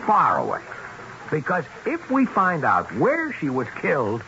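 An actor playing a keen, insistent police detective in a 1970s radio drama, reasoning emphatically. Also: male voice, on the high side, wildly swooping pitch, a little slow, creaky, sharp tone, heavily acted. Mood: intent, reasoning. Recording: dull vintage radio with faint noise.

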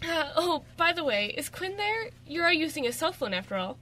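A woman's voice: sits high, very animated, fairly quick, sharp tone, full character voice. A woman playing an affectionate, anxious girlfriend, emotive and tender, in an amateur radio drama. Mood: grateful, affectionate. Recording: noticeable background noise.

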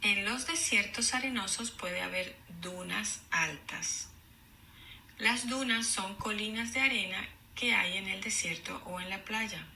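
A woman teacher reading aloud to children in a deliberate, explanatory way, enunciating for young learners. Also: mid-range voice, creaky, bright, forceful tone, a little hiss or hum. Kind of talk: children's read-aloud lesson.

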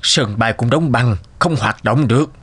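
A man's voice: medium pitch, normal speed, a bit bright, breathy edge. A man voicing a grave, worried town official in a dramatic cartoon. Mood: grave, urgent. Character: grave, worried men in a snowbound town emergency. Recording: music behind the voice.

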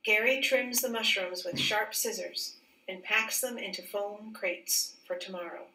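A woman teacher reading a picture book aloud in a careful, expressive storybook manner. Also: medium pitch, measured pace. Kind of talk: read-aloud storybook narration. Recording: roomy echo, distant mic.

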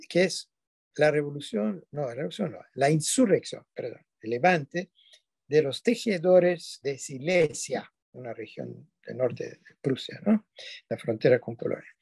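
A man's voice: fairly high pitch, lively intonation, moderate pace, vocal fry, a little mellow, slightly rough, no echo. An older man whose first language is not Spanish, giving an online lecture from a dim room at home over a webcam, digressing and often self-correcting with tag questions. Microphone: video call webcam.

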